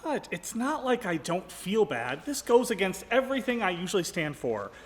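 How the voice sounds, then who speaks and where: An actor playing a guilt-ridden, exasperated radio director in a live stage radio play. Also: male voice, high voice, big pitch swings, moderate pace. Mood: defensive, earnest. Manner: emphatic, exasperated, theatrical. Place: large ballroom, live before an audience. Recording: echoey hall, live stage performance.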